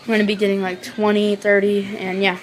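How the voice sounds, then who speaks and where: A woman vlogger doing a casual show-and-tell in a halting, informal way, on an amateur recording. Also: mid-range voice, flat delivery, a little hiss or hum, low-quality recording. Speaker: vlogger showing a weather radio collection.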